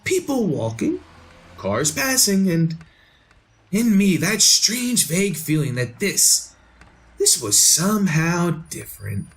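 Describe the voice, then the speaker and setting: An actor playing an obsessed, bewildered man in a retro radio drama, with halting, melodramatic delivery. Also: high-pitched, huge pitch swings, heavily acted. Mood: haunted, uneasy. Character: obsessed, bewildered man craving knowledge of the future.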